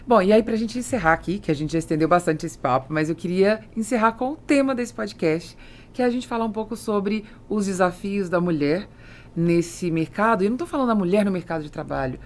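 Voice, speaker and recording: A woman's voice: mid-range voice, fairly quick. A woman with a Brazilian accent hosting a podcast in a conversational interview style, telling stories in an emphatic way. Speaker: podcast host.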